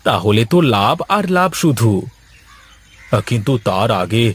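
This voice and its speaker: A man's voice: medium pitch, very animated, unhurried, breathy edge. A man voicing a meek, wily poor village husband in a cartoon, with a placating, pleading manner. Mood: earnest. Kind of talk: cartoon character acting.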